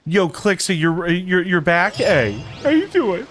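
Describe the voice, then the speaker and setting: A man's voice: high-pitched, big pitch swings, unhurried, fairly bright tone, faint music behind. A man streaming a video game, chatting casually and informally, often repeating his phrases. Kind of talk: casual livestream commentary and chat.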